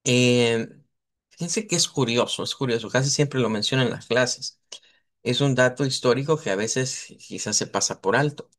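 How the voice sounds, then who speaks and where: A man, lecturing in a live online language class. His voice sits at an everyday middle pitch. He talks patiently, spells out sounds slowly and keeps asking his students whether they follow. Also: fairly bright tone. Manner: explanatory, repeats and models sounds slowly; checks in with students, asks "verdad". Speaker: language teacher.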